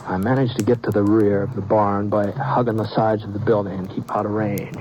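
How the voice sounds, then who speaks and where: A calm, plainspoken drifting gunman, voiced by a man tells his tale in a slow, drawling, understated way. His voice sits low, and a clear hiss runs under the old radio recording. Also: close to an everyday voice, poor audio. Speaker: narrator. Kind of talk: 1950s radio drama narration.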